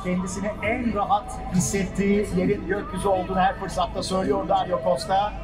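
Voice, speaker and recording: A male live event announcer with a high voice works up the crowd with exclamatory commentary. He is heard from a distance over echoing outdoor speakers, with music and crowd noise behind him. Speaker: live event announcer at an air show and flying contest. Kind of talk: excited live commentary over a public address system. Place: outdoor seaside public event.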